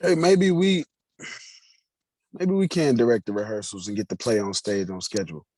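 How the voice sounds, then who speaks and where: A man's voice: on the low side, moderate pace, a little mellow, no echo, close to an everyday voice. A man playing a concerned, encouraging grown son in a staged play reading. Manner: earnest, persuasive.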